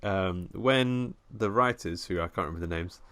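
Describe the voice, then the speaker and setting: A man with a fairly low voice hosts a horror film podcast, talking in a relaxed, chatty way full of little restarts. He is close on a podcast mic with a dry, dead sound. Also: moderate pace, dry recording. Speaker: podcast host. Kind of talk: casual film podcast chat.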